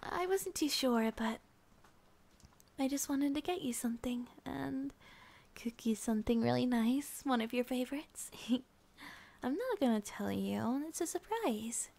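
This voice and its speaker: A woman playing a sleepy, affectionate young schoolgirl girlfriend in an ASMR roleplay, speaking softly and breathily, often near a whisper. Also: high-pitched, animated, a little slow, heavily acted. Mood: tender, tired. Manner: soft, breathy, often whispering, with sighs and giggles.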